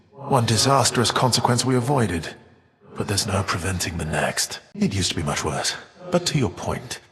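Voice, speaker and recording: A man playing a weary, defiant, all-knowing Titan in a video game, speaking in a measured, formal, archaic and foreboding way. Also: fairly low pitch, long reverb tail. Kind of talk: theatrical video game character voice acting.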